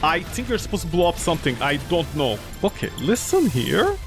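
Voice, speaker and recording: Non-native guy streaming a game, pretty high voice, rambles and swears, loud game music under him. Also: wildly swooping pitch, fairly quick. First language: not English; grew up with French, Italian. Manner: rambling, swearing, jumps between loud outbursts and low mumbles. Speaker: gaming streamer. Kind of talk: casual let's-play commentary.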